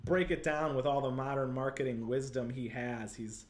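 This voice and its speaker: A man's voice: medium pitch, moderate pace. A man with a Chicago accent hosting a live online interview show, chatting casually and upbeat, full of fillers like "like" and "totally". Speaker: livestream show host.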